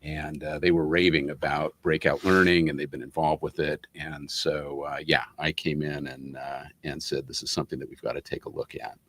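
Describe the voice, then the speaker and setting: A man speaking casually as a guest co-host, with halting, hesitant phrasing. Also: extremely low pitch, level tone, a bit bright. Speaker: podcast guest co-host, management professor. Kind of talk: casual conversational introduction.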